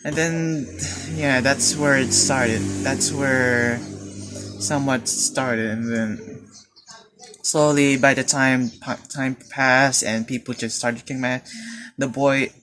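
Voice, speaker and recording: A teenage Filipino man telling a personal story in a hesitant, halting way, with many fillers and restarts. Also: mid-range voice, fairly even intonation, background noise. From Philippines. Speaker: student. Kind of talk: personal confession monologue.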